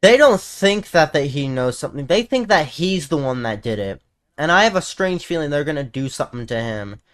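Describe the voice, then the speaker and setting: A man's voice: fairly high pitch, big pitch swings, fairly quick, fairly bright tone. A man giving informal, slangy reaction commentary as a video host, sometimes reading text aloud. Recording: noticeable background noise.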